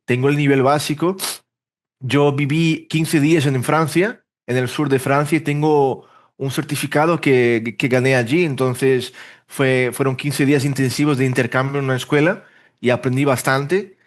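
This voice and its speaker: A man's voice: medium pitch, steady tone, moderate pace. Brazilian man chatting, thinking aloud. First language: Portuguese. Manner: rambling, self-correcting, thinks aloud with fillers. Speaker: interpreter and language teacher, podcast guest. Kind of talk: casual interview conversation.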